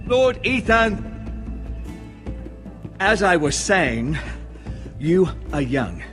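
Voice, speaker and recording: An actor playing a learned, loyal castle advisor in a video game drama, formal and measured, giving counsel. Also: male voice, high-pitched, big pitch swings, fairly bright tone, soft background music, full character voice. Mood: solemn counsel.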